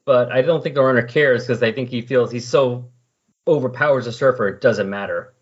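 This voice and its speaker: Male podcast host, husky voice, casual explanatory recapping, dull lo-fi sound. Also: medium pitch, a little fast. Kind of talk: casual comic book discussion. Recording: dull lo-fi voice recording.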